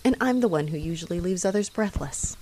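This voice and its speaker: A woman's voice: on the low side, lively intonation, brisk, mellow, a little hiss or hum, natural voice, rough recording. A woman playing a haughty, sarcastic female vampire in a roleplay audio drama, mocking and theatrical as she taunts her rival. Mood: haughty, menacing.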